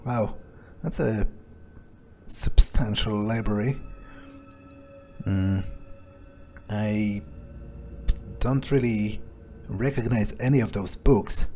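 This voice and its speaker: A man's voice: on the low side, slow pace, long pauses, mellow, soft background music. A man role-playing a cocky, foul-mouthed fledgling vampire in improvised video game commentary, muttering hesitantly and swearing casually. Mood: musing, curious. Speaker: game streamer role-playing his character. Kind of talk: in-character improvised gameplay commentary.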